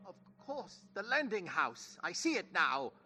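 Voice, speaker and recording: An actor playing a frightened old miser in a radio play, with a rough, husky voice and agitated, theatrical questioning. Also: male voice, high voice, sing-song, a little slow, bright, forceful tone, full character voice. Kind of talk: 1930s-style radio play acting.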